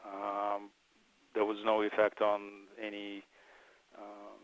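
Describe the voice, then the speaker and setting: A man speaking as a research engineer, answering webinar questions in a hesitant, halting, measured way over a slightly muffled online call. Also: lowish voice, completely flat delivery, no echo.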